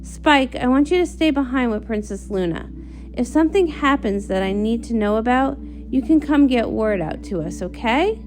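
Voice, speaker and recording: A woman voicing a studious, eager young unicorn princess in a comic read-along, earnest and excitable. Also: a little high, moderate pace, a little hiss or hum, lightly acted.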